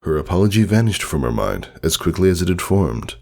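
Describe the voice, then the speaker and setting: A man with a low voice narrates a story from a script. There is fry in his tone, and his delivery moves expressively up and down. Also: sing-song, fairly quick, darkish tone. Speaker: fan fiction reader, narrator.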